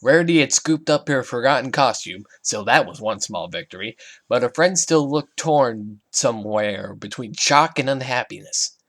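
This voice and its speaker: A man's voice: mid-range voice, expressive, moderate pace, a bit bright. A man narrating a scripted story as an amateur fan fiction reader.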